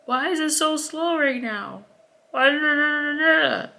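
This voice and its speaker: Young female vlogger, sweet high voice with crisp brightness, frank advice, easy laughs. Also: a little slow. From Atlanta, US. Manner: assertive, chatty, laughs between points. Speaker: YouTuber. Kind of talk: casual advice vlog.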